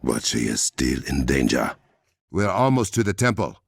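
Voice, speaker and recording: An actor playing a proud, resolute warrior king in a video game, with an African accent and formal, measured, regal diction. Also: extremely low pitch, sing-song, vocal fry, dry recording, clean studio sound, full character voice. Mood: grave, composed. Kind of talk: dramatic video game voice acting.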